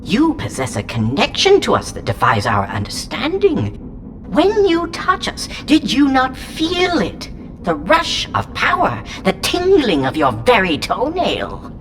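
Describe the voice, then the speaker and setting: A woman's voice: on the high side, wildly swooping pitch, a little slow. A woman voicing an ancient, whimsical and haughty alien entity inside a small robot in an audio drama, speaking in theatrical, rambling, pompous riddles. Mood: musing, mysterious. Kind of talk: full-cast audio drama acting. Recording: clear noise bed behind the voice.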